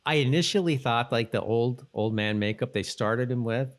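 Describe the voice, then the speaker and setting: A man's voice: medium pitch, moderate pace. A man co-hosting a podcast, casually talking through a TV show in laid-back, slangy speech. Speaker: podcast co-host. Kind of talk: casual TV recap chat.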